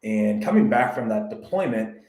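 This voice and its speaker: A man from the United States, a realtor guest on a podcast, telling his story in a candid, reflective, measured way. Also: medium pitch, animated, darkish tone, reverberant. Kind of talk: podcast interview.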